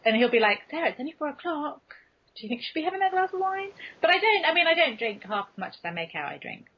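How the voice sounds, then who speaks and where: A woman's voice: high-pitched, very animated, rapid-fire. A woman talking candidly and casually as a podcast guest, telling comic anecdotes in a self-deprecating way, on a muffled lo-fi recording. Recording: dull, muffled lo-fi podcast audio.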